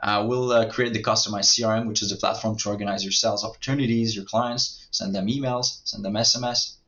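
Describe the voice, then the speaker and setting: French-accented man giving a sales pitch. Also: fairly even intonation, a little fast, bright, forceful tone, highs cut off. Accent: French Canadian. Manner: hesitant, many fillers and restarts. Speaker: marketing agency owner pitching services.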